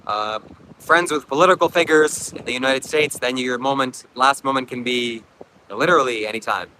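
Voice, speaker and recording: A man's voice: mid-range voice, normal speed, bright, forceful tone, low-quality recording. A man with a Ukrainian accent, English not his first language, chatting casually on a beach livestream and reading comments, coughing often and rambling reflectively. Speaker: livestream host. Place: outdoors at a beach. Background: faint wind.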